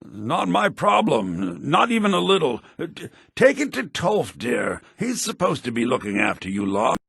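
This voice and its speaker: Casting: self-serving fantasy game schemer, sly and theatrical, husky voice with very animated pitch. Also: male voice, a little slow, dry recording, clean studio sound, heavily acted. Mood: smug, evasive. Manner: theatrical, condescending. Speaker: video game voice actor. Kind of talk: fantasy role-playing game dialogue. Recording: low-bitrate game audio rip.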